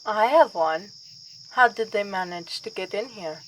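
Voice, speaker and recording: Unhurried, reluctant vampire princess voiced by a woman. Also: mid-range voice, close to an everyday voice, rough recording. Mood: incredulous. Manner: formal wording with wry, weary asides. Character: reluctant vampire princess, kindly but exasperated, gently haughty. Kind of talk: ASMR roleplay acting. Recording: outdoor ambience with faint insect noise.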